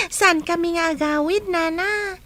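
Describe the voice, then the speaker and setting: A woman's voice: extremely high pitch, slow pace, fairly bright tone, a little hiss or hum, exaggerated voice. A woman playing an affectionate, eager young girl in a radio drama, with an Ilocano accent.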